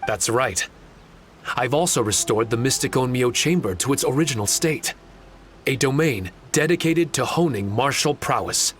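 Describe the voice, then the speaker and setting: A man's voice: mid-range voice, lively intonation, moderate pace, a little hiss or hum, close to an everyday voice. A man voicing a courteous, earnest young sorcerer warrior who speaks formally and sincerely in a video game. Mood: calm, earnest. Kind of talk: video game character acting.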